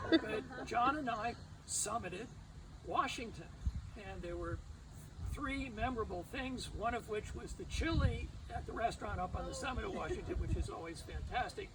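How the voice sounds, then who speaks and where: A person giving an informal memorial tribute, telling anecdotes with emphatic repeated phrases. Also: mid-range voice. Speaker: speaker at a memorial service. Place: outdoors at a cemetery memorial gathering. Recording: distant outdoor recording with background noise.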